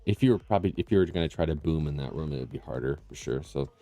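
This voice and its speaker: A man's voice: very deep voice, animated, racing, warm tone. A man hosting a live painting stream, chatting casually with viewers and explaining his work, often trailing off and restarting sentences. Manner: rambling, self-interrupting, explains as he works. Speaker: livestream host and digital painter. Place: small room with tile floors. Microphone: close condenser mic with processing.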